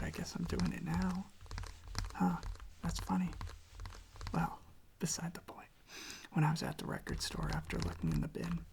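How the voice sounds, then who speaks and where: An ASMR creator speaking very softly, often in a whisper, in an unhurried, rambling casual chat with self-interruptions, close to the microphone. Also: medium pitch, creaky. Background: tapping and handling of records.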